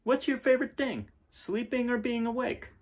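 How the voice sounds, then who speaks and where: A man's voice: extremely high pitch, normal speed, voice pushed up. A man voicing an opinionated, bossy little farm girl from a storybook, emphatic and matter-of-fact. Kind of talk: storybook read-aloud.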